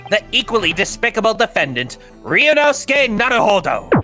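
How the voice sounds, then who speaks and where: A man acts out a pompous video game prosecutor, smug and long-winded. His delivery is theatrical and swings widely in pitch, with music playing clearly behind it. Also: big pitch swings, normal speed. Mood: smug, accusing. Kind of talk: live comedic fan dub of a video game.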